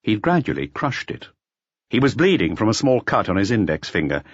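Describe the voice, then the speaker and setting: A man's voice: on the low side, sing-song, a little fast, breathy edge, no echo, dull audio. A man giving scripted narration of a detective novel as an audiobook reader.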